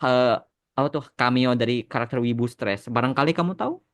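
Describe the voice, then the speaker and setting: A man's voice: mid-range voice, brisk. A man hosting a casual podcast chat, mixing English phrases into his talk. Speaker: podcast host and animation creator answering fan questions.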